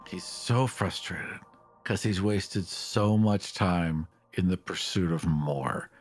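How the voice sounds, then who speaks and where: A man's voice: low voice, takes it slowly, soft background music. A man improvising narration of his character's actions and feelings as a player in a tabletop game.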